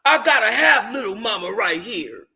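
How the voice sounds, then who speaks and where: A woman's voice: fairly high pitch, sing-song, normal speed, gravelly, pushed and bright, exaggerated voice. A woman voicing a crude, leering, drunken man in a club, reading from a novel in a confrontational manner. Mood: angry, crude.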